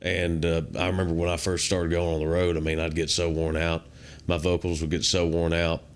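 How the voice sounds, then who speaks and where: A young man from with a naturally deep voice, chatting in a relaxed, drawling way as a podcast guest, full of "man" and "uh". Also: fairly quick. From Kentucky. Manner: laid-back, drawling, many fillers like "man" and "uh". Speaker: country singer, guest. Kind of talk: casual interview chat.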